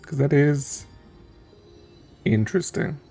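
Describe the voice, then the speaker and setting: A man giving casual streamer commentary on a game story, thinking aloud in a musing, halting way. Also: a little high, lively intonation, vocal fry, warm tone, faint music behind.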